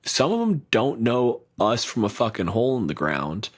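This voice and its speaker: A man's voice: medium pitch, wildly swooping pitch, normal speed, breathy edge. A man co-hosting a podcast and running the game, teasing, swearing and rambling casually over a remote call. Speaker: podcast co-host and game master. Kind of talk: casual banter. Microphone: remote recording over video call.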